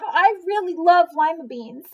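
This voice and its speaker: A woman's voice: very high-pitched, lively intonation, a little slow, highs cut off, pitched above the performer's own voice. A woman voicing a reluctant, dramatic young girl in a children's storybook, with an emphatic, exclaiming manner. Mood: pleading.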